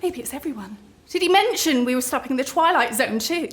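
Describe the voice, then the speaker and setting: High-voiced, flustered woman. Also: expressive. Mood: wry, rattled. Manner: agitated, halting, self-deprecating. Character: anxious, flustered, wry woman writer on a train. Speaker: actor in a radio play. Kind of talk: naturalistic radio drama dialogue.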